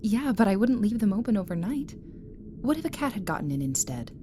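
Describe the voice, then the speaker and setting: An actress playing a high-strung young mother in an audio drama, pointed and questioning with her husband. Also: very animated, brisk, warm tone, slight background noise, natural voice. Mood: accusing, tense. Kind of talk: naturalistic audio drama acting.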